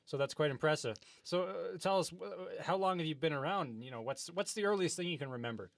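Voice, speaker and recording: A man's voice: on the high side, a little fast, gravelly, fairly bright tone, no room sound. A man hosting an improvised comedy talk-show interview, speaking in a hesitant, earnest, wide-eyed way.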